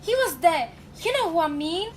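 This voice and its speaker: A woman's voice: very high-pitched, big pitch swings, normal speed, a little hiss or hum. A teenage girl playing a sentimental, gossipy working-class factory girl in a radio drama, folksy and dramatic. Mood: excited gossip. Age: teenage high school student.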